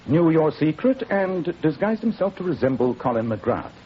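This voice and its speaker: A brisk English detective in a vintage radio mystery. His fairly high voice swings expressively as he probes with formal courtesy. The sound is dull, with a faint hiss. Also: big pitch swings. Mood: probing. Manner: crisp, formal, incisive questioning. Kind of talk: 1940s radio drama acting. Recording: muffled old radio recording with hiss.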